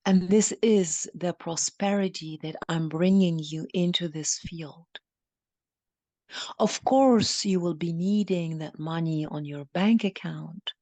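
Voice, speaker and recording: Slow, creaky-voiced woman guiding a meditation. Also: fairly low pitch, a little mellow, dry recording. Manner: halting, deliberate phrasing with repeated lines. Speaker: meditation guide speaking as a channeled ancient money healer. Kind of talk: guided meditation, channeling monologue.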